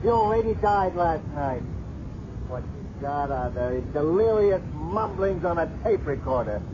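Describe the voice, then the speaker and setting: A man's voice: a little high, expressive, a little slow. An actor playing a cautious, stern policeman arguing bluntly and emphatically in a 1970s radio drama. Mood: firm, exasperated. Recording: dull, noisy old radio recording.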